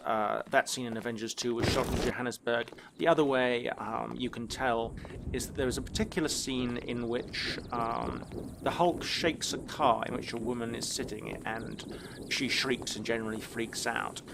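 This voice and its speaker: A man giving casual gameplay commentary, with dry, wry asides that turn loud and excited when the action heats up. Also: mid-range voice, a little slow, gravelly, background noise. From South Africa. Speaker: gaming YouTuber.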